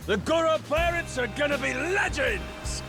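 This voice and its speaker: An actor playing a brash, carefree middle-aged pirate captain in a video game, with folksy, slangy talk. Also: male voice, very high-pitched, animated, unhurried. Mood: rousing, jubilant. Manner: folksy drawl with slangy grammar. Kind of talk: video game cutscene acting. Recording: background music behind dialogue.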